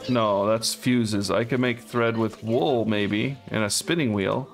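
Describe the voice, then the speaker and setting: A man hosting a co-op game playthrough, chatting casually and thinking out loud as he plays. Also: lowish voice, takes it slowly, over music. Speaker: let's play host. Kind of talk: casual co-op gameplay chat.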